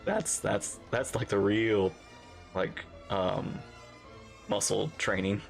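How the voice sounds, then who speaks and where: Very low-voiced woman reacting to a game. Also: slow pace, vocal fry, soft background music. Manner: excitable, playful asides. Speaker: reaction streamer. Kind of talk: casual live game reaction commentary.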